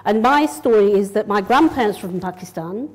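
A woman's voice: medium pitch, expressive, brisk, a little mellow. Direct middle-aged woman giving a lecture. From Yorkshire, England. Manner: direct, organised, conversational. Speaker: consultant nephrologist. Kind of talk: public lecture. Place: lecture room, indoors. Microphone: lectern mic.

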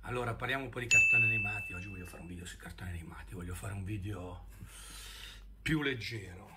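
A man's voice: on the low side, fast. A middle-aged man, rambling casually and searching for words, recorded on a phone at arm's length. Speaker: online video creator. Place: indoor room at home. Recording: noisy handheld phone recording.

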